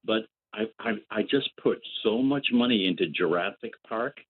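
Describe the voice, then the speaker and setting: A man's voice: lowish voice, expressive, moderate pace, husky. Older man joking on a tinny phone line. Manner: playful, joking. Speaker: caller. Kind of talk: phone-in chat.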